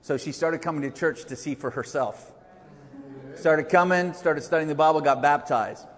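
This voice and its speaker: A middle-aged man preaching a sermon at a pulpit microphone, loud and conversational, joking with the congregation. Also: on the high side, normal speed. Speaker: pastor. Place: large church hall. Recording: echoey church hall.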